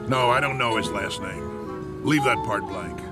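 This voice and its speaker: An actor playing a gruff, shrewd baseball manager with an American accent and a sarcastic, slangy, blustery delivery in a radio drama. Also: male voice, medium pitch, a little slow, close to an everyday voice. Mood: urgent, brisk. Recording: music behind the voice.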